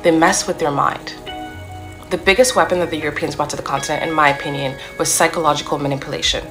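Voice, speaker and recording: A woman's voice: sits low, steady tone, fast, music in the background. A young woman giving a serious, emphatic opinion straight to her camera indoors. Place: indoor room.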